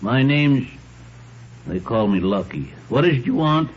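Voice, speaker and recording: A man's voice: mid-range voice, expressive, unhurried, lightly acted. An actor playing a cranky, suspicious old handyman in a 1950s radio drama, grumbling in folksy, ungrammatical speech. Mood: grumpy, suspicious. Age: older. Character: cranky, suspicious old male building handyman called Lucky. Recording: dull, noisy old radio recording.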